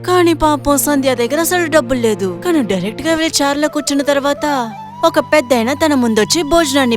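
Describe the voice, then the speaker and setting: A woman narrator reading a scripted moral story. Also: high-pitched.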